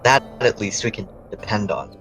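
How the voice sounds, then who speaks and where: A man's voice: lowish voice, normal speed, low-quality recording. A man playing a young elf stargazer, a loyal and curious friend, speaking earnestly in a dramatic comic dub. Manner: expressive, earnest. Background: loud music.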